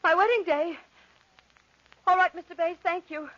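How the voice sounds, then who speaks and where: An actress playing an anxious young bride in a 1940s radio drama, emotional and breathless, with a husky, breathy voice. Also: female voice, very high voice, normal speed, a bit bright. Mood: startled, dazed. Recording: muffled old radio recording.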